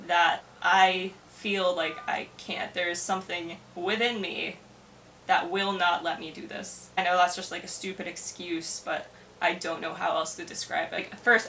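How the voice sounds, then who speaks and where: A woman's voice: lowish voice, pushed and bright, far from the mic, a little hiss or hum, low-quality recording. A young woman talking casually and confessionally to camera, in a hesitant, self-deprecating, informal way. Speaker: vlogger.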